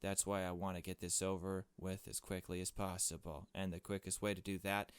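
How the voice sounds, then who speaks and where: A man's voice: low-pitched, flat delivery, fairly quick, clear, resonant tone, no echo, close to an everyday voice. A man voicing a suspicious, cynical, foul-mouthed young woman sleuth in a visual novel, insistent and blunt. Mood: wary, urgent. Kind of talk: visual novel dialogue read aloud.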